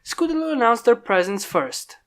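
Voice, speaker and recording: A woman's voice: fairly high pitch, very animated, moderate pace. A woman steadily narrating a fan story aloud. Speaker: fan fiction reader.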